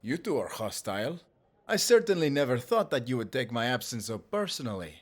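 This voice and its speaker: A man performs a snobby, cultured male warlock for an audio drama. He speaks in a posh, formal, precise way at a medium pitch, with very expressive rises and falls. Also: a little fast. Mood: haughty, composed. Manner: formal, posh, precise diction without contractions. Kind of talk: audio roleplay voice acting.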